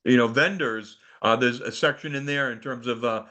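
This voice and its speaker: A man's voice: mid-range voice, normal speed, sharp tone. A man giving practical advice casually as a club member on a group call. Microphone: conference call.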